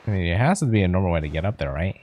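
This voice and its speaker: A man's voice: low-pitched, animated, racing, a little mellow, a little hiss or hum. A man streaming a game with casual commentary, musing and thinking aloud. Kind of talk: casual let's play commentary. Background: game music.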